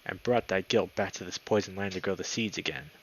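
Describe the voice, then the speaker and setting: A man's voice: sits low, fairly quick, low-quality recording. Man doing a brooding, crazed weasel gunman. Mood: accusing, menacing. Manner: folksy, brooding, accusing. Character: crazed male weasel gunman, rural and menacing.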